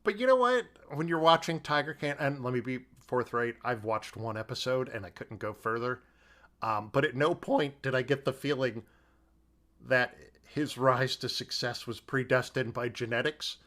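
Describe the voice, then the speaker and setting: A man's voice: medium pitch, moderate pace, fairly bright tone. A man co-hosting a podcast, giving wry commentary in a casual conversation about films. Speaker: podcast co-host.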